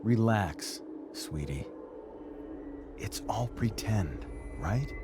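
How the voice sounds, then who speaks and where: An actor playing a gentle, teasing middle-aged father in a naturalistic video game drama, with a playful, reassuring manner. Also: lowish voice, expressive, very slow, music in the background. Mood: soothing.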